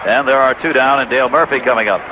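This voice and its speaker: A man's voice: medium pitch, fast talker. A man doing live baseball play-by-play in clipped descriptive phrases, in the style of 1980s radio sports commentary. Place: stadium broadcast booth. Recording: dull, noisy old AM radio broadcast.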